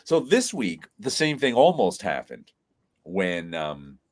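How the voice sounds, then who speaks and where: A man's voice: deep voice, big pitch swings, slow pace. A man hosting a casual live chat, congested from sinus trouble, rambling through stories with frequent laughs and restarts. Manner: rambling storyteller who laughs often and restarts phrases. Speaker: host.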